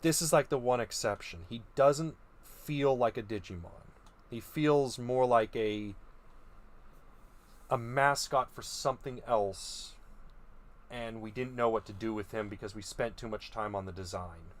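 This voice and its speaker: A man's voice: mid-range voice, a little slow. A young man giving casual opinion commentary as a video host. He muses hesitantly, with frequent ums and restarts. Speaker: video host reviewing creature designs.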